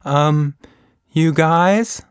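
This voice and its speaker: A curious storybook girl, played by a man, with a voice raised well above a man's talk. She speaks politely and tentatively, with gentle hesitation. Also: fairly high pitch, drawn-out words, clear, resonant tone, no room sound, studio quality, voice pushed up.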